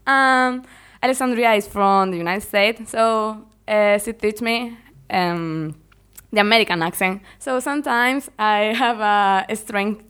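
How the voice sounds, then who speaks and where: Young woman, native Spanish speaker, learner English, slow halting delivery, smooth clear voice, lots of fillers and laughs. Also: medium pitch, a bit bright. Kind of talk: casual interview chat.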